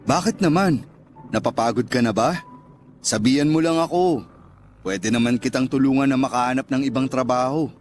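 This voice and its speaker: An actor playing a smooth-talking, indulgent restaurant owner courting his lover in a radio drama, casual and coaxing. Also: medium pitch, normal speed, lightly acted. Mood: coaxing, generous. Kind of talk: Tagalog radio drama dialogue. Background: background music.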